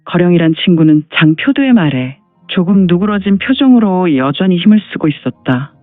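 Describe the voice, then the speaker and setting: A man with a high voice narrates a martial arts tale as scripted storytelling. The recording is dry and dull, with faint music underneath. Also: very animated, a little fast, no echo.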